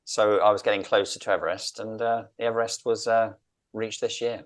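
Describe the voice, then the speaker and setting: A middle-aged man with a southern English accent speaks in a low voice as an interview guest. He is earnest and reflective, restating his phrases with slight variations to drive a point home. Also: flat delivery, a little fast. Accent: English, southern British. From London, England. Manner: earnest, emphatic, repeats phrases for effect. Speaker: interview guest, executive coach.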